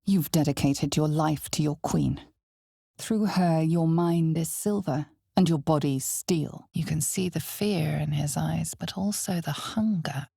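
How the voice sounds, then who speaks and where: Fantasy game narrator, female, ominous and knowing, husky and breathy, gravelly creak, unhurried measured storytelling. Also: sits low, dark tone, dry recording, studio quality, exaggerated voice. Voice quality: breathy, husky, often dropping toward a whisper. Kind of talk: dramatic second-person fantasy narration.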